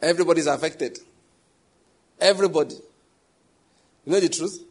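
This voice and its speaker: A man's voice: fairly high pitch, moderate pace, breathy edge. A middle-aged Nigerian man with a Nigerian English accent, preaching a sermon in a conversational, humorous way. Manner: conversational, humorous, laughs at his own jokes. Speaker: pastor.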